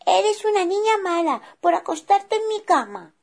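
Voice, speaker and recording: A woman doing a shrill wailing bear cub. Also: big pitch swings, normal speed, vocal fry, heavily acted. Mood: tattling. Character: small bear cub, whiny and tearful.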